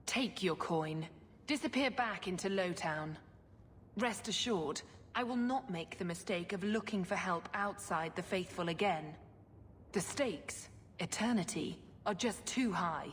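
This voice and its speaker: An actress playing a zealous, scheming religious sister in a video game, poised, formal and condescending. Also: female voice, on the low side, unhurried, a little hiss or hum, natural voice. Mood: dismissive. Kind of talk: video game cutscene acting. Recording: long hall reverb, music behind.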